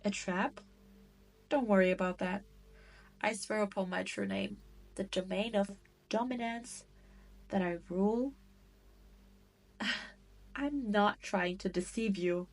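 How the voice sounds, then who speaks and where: Demon queen for roleplay audio, played by a woman: cruel and seductive, mid-range voice, very deliberate pacing, haughty taunts. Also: medium pitch. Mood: amused, taunting. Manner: taunting, haughty, condescending, teasing. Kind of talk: scripted roleplay audio drama monologue.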